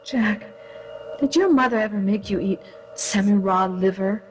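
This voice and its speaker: A woman's voice: medium pitch, unhurried, a little hiss or hum, natural voice. A woman playing a wisecracking, melodramatic heroine in a comic radio play, theatrical and sardonic.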